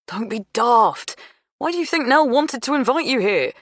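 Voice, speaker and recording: A woman's voice: on the high side, lively intonation, moderate pace, fairly bright tone, no room sound, voice pushed up. Woman reading as a placating young man. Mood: pleading. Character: placating young man, harried son and partner.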